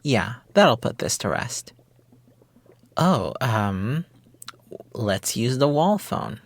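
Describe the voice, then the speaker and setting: A coy, playful witch of a sweetheart acted out by a man in an intimate ASMR roleplay. The talk is flippant and cutesy, dodging every question with a tease. A veiled menace sits under the sweetness, at a middling pitch. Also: sing-song, extremely slow, a little hiss or hum, exaggerated voice. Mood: flustered, evasive. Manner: flippant, evasive, teasing, cutesy slang. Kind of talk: intimate ASMR roleplay monologue, girlfriend-experience script.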